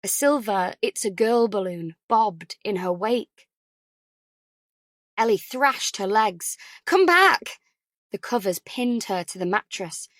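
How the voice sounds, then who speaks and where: Audiobook narrator: woman, slow scripted suspense read, dry studio-style sound. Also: mid-range voice, no room sound.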